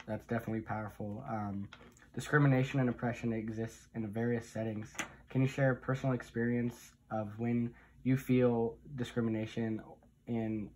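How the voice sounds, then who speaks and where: Male interviewer, low and monotone, steady questions, faint ambience. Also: slight background noise, poor audio.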